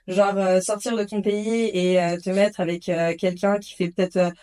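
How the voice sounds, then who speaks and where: A young woman hosting a podcast, talking in a relaxed, hesitant way with many fillers. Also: mid-range voice, normal speed, clean tone. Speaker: podcast host. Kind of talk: casual conversation.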